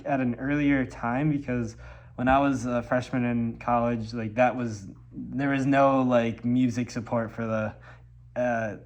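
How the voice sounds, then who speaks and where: A young man from Milwaukee, congested from a cold, rambling casually in a vlog with lots of ums and likes. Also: mid-range voice. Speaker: musician vlogger.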